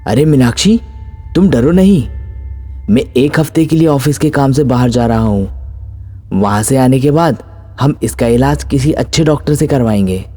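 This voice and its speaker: A man's voice: medium pitch, moderate pace, warm tone, faint music behind, pitched above the performer's own voice, close to an everyday voice. A man voicing a troubled, protective husband and father in a horror story, earnest and melodramatic. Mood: reassuring. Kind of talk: dramatized horror story dialogue.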